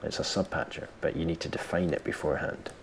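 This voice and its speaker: Very deep, gravelly-voiced man teaching software. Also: steady tone, fast, background noise. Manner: informal, self-deprecating, thinking aloud. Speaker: tutorial presenter. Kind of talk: casual screen-recorded software tutorial.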